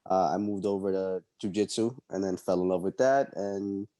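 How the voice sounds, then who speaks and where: Low, dark-voiced young man, halting. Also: dry recording. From El Salvador. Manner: relaxed, halting, full of ums and uhs. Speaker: MMA fighter guest. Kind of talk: casual interview answers.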